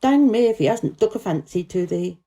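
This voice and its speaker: A woman's voice: medium pitch, expressive, moderate pace, dark tone, lightly acted. A woman voicing a surly, blunt old male gardener with a Yorkshire accent in a storybook reading. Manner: blunt, gruff dialect speech.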